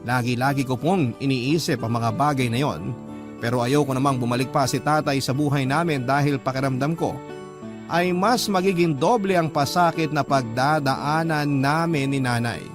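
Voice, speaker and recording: A man's voice: mid-range voice, normal speed. A man narrating a dramatic first-person story as the reader of a letter. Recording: music bed under the voice. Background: guitar music.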